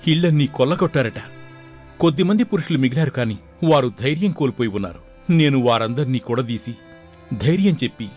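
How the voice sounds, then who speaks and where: An actor playing a brave, humble young prince in a folk tale, speaking respectfully and formally. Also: male voice, a little high, darkish tone, natural voice. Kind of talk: dramatised Telugu folk tale.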